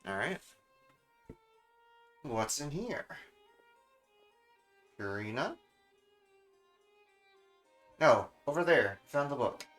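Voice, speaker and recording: A man's voice: mid-range voice, sing-song, extremely slow, long pauses, fairly bright tone. A man giving casual, offhand live commentary as he streams a game, often talking to himself. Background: game music.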